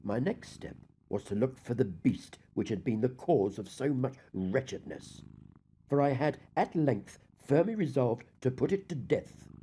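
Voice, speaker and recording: A dark-toned, deranged murderer acted by a man confesses in formal gothic storybook prose. His pitch swings widely as he moves between dread and frenzy, with theatrical emphasis. There is some noise behind the voice. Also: natural voice. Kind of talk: dramatic gothic audiobook reading.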